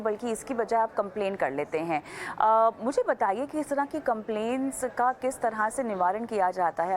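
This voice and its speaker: A woman's voice: medium pitch, a little hiss or hum. A woman hosting a TV interview, putting questions to guests. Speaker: TV show host.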